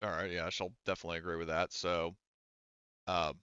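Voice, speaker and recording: A man running a tabletop roleplaying game as game master, explaining the scene in halting, unscripted narration. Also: low-pitched, little pitch movement, bright, forceful tone, clear, resonant tone, no room sound, dull audio.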